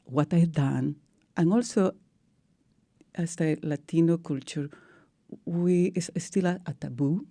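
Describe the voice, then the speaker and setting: Peruvian-accented woman, low gravelly voice. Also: measured pace, pauses often, warm tone, dry recording. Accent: Peruvian Spanish. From Peru. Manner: earnest storytelling with non-native English phrasing. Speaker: guest. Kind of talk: radio interview.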